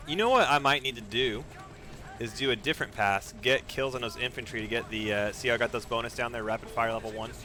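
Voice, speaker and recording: A man's voice: medium pitch, fast talker, sharp tone. A man giving live speedrun commentary as he plays, narrating his own moves, repeating phrases and using mild exclamations instead of swear words. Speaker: video game streamer and speedrunner.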